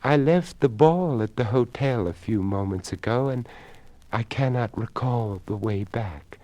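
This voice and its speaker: A man voicing a lost, frightened young ghost girl who is polite and pleading, speaking softly and haltingly. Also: medium pitch, lightly acted. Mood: distressed, pleading.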